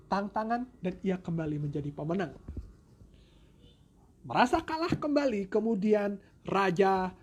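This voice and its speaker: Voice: mid-range voice, darkish tone, highs cut off. Playful storyteller in a noisy recording. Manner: playful, joking asides and self-corrections. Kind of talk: informal improvised folk tale storytelling. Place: at home. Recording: noisy home recording with ambient sound.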